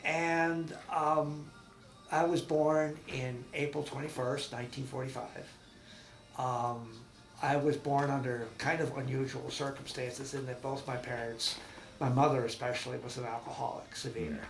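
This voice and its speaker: Gravelly guy in his 60s, lots of ums and restarts, roomy echo. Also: medium pitch, little pitch movement, faint music behind. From Massachusetts. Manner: rambling, halting with many ums and restarts. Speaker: veteran interviewee. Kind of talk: oral history interview. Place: his home.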